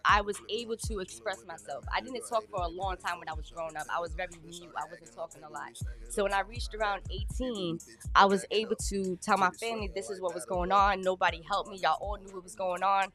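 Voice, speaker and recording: A woman in her thirties telling her life story in an interview, candid and plain-spoken, with halting restarts. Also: mid-range voice, steady tone, bright, forceful tone, soft background music. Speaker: guest. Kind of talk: personal testimony in an interview.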